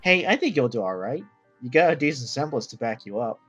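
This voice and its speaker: A man voicing an easygoing, cheerful, slightly dim young man in a comic dub, with a husky voice. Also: mid-range voice, very animated, fairly quick, fairly bright tone, no room sound, dull audio, soft background music. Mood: friendly, curious. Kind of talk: animated comic-dub voice acting.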